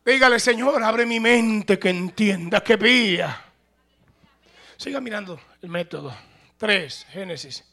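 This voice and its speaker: Male pastor, high voice, very bright and piercing, preaching with sudden shouted peaks. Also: animated, slow pace. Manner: swings from conversational to shouted preaching. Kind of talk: sermon.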